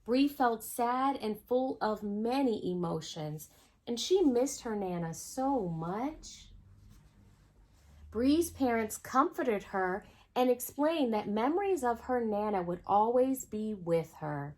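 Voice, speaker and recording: A woman with a fairly high, very animated voice reads a picture book to children. She hosts the storytime and talks straight to her young audience, often in an expressive way. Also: takes it slowly. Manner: expressive, addresses children directly with questions. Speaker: host reading a children's picture book aloud. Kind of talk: storytime read-aloud with asides to young viewers.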